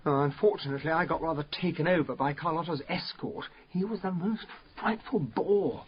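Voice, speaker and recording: An actor playing a genial, slightly baffled captain in a radio drama, polite and chatty. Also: male voice, on the high side, brisk, lightly acted. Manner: polite, chatty, mildly bumbling. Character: genial, slightly baffled English captain, detective's gentlemanly companion. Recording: dull radio audio with clear noise.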